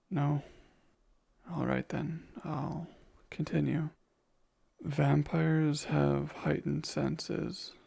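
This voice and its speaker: A man playing a kind, remorseful vampire in an ASMR roleplay, speaking softly and tenderly with hesitant, formal, careful phrasing. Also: on the high side, gravelly, full character voice. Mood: gentle, hesitant. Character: kind, gentle, remorseful male vampire comforting someone. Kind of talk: ASMR audio roleplay, soft intimate monologue.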